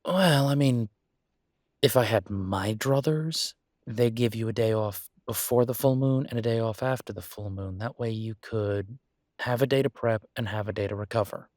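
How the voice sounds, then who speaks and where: A man's voice: lowish voice, normal speed, a little mellow, dry recording, clean studio sound, lightly acted. A man playing an easygoing, supportive boyfriend in an intimate one-sided roleplay audio, talking casually and reassuringly with folksy turns of phrase. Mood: reassuring, matter-of-fact.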